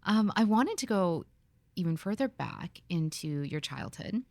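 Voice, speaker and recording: Low-voiced, reflective woman hosting an interview. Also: measured pace, no room sound. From Philippines. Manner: reflective, relaxed, with fillers and restarts. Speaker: podcast host. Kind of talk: conversational interview.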